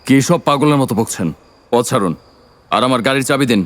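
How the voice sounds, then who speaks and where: An actor in an audio drama playing a tired doctor, wary and easily irritated. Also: male voice, mid-range voice, quick pace, natural voice.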